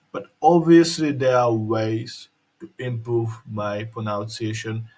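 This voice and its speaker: Slovak man talking haltingly, slightly husky. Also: on the low side. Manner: halting, repeats and restarts words, careful articulation. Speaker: video creator. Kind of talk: casual instructional vlog. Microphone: headphones with mic.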